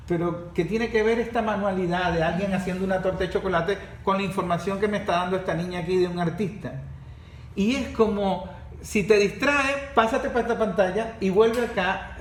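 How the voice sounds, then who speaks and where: A man with a high-pitched voice speaks in a lively, animated way in a podcast discussion and jumps in with quick asides. The room is echoey and has a faint hiss under the voice.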